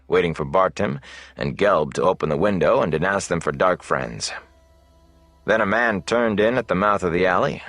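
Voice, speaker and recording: Low-voiced male audiobook narrator, measured. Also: fairly bright tone, dry recording. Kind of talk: scripted fantasy novel narration. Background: faint music.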